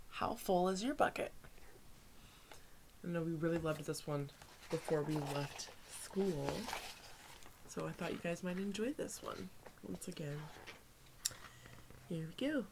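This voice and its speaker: A woman reading a picture book aloud as a teacher to young children, pausing for asides to her listeners. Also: lowish voice, background noise.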